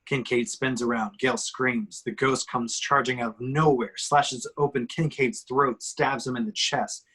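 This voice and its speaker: A man's voice: medium pitch, steady tone, unhurried, fairly bright tone, husky. Male narrator, mid-range voice, reads stage directions for an amateur table read. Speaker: reader of action descriptions. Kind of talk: scripted screenplay narration at a table reading.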